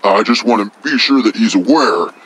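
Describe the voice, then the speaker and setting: Man voicing a deep, crude devil. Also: normal speed, a bit bright, low-quality recording. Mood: indignant. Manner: profane, blunt, rambling. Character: crude, boastful male devil, menacing and vulgar.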